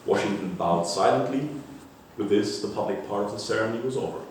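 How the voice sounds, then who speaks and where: A man reading a scripted popular history narrative aloud in a measured way, like an author presenting an excerpt, with an occasional stumble. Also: fairly low pitch. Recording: echoey room, distant mic, faint noise.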